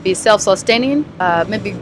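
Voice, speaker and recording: A woman's voice: medium pitch, expressive, moderate pace, background noise. A woman from Nigeria in her thirties, a guest chatting in an interview, laughing often and trailing off mid-sentence. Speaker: guest, family vlogger. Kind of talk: casual interview chat.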